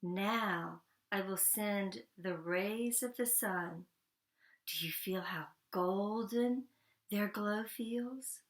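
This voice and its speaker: A woman voicing a small male field mouse, a calm and dreamy poet in a children's storybook, with a simple, gentle delivery. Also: medium pitch, extremely slow, roomy sound. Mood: slow, soothing. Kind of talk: children's storybook read-aloud.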